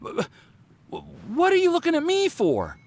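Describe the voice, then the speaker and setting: Animated, nervous young male alchemist. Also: very high voice, moderate pace, background noise, exaggerated voice. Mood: flustered. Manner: stammering, awkward, animated. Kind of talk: anime game voice acting. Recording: music behind the voice.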